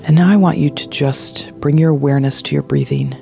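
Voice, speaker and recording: A meditation guide speaking in a soothing, hushed manner, leading a guided relaxation. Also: mid-range voice, steady tone, brisk, creaky, faint music behind. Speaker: meditation guide, podcast host.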